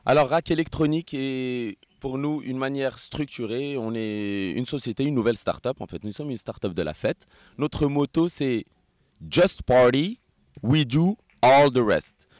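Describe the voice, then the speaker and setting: A man, Moroccan and born in France, co-founder of an events start-up, pitching his company with upbeat slogans. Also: a little slow, darkish tone, no echo. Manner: enthusiastic pitchman, listing and sloganeering. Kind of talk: promo interview.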